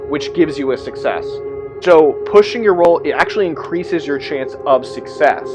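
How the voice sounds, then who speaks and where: Higher-pitched man explaining game rules over music. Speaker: presenter reviewing tabletop roleplaying games.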